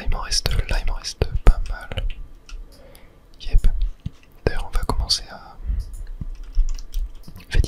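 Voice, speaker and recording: Whispered ASMR gaming commentary. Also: fairly bright tone. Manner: murmured, hesitant thinking aloud with trailing self-corrections. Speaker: gaming video host.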